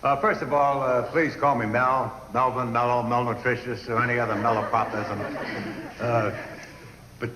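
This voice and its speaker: An actor playing a wisecracking old student. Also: male voice, medium pitch, level tone, normal speed, background noise, lightly acted. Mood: joking. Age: 60s. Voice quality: wisecracking, self-deprecating. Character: jokey elderly male returning college student. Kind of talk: 1980s TV movie comedy. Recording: echoey TV film soundtrack with music.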